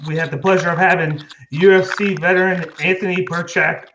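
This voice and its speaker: A man's voice: high voice, steady tone, a little fast, fairly bright tone, a little hiss or hum, rough recording. A man hosting an interview show, asking questions in a hesitant way, full of fillers and restarts. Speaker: podcast host.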